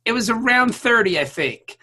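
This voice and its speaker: A man's voice: very high-pitched, lively intonation, normal speed, pushed and bright. A man hosting a casual podcast, bantering in an effusive, teasing way with plenty of swearing. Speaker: podcast host.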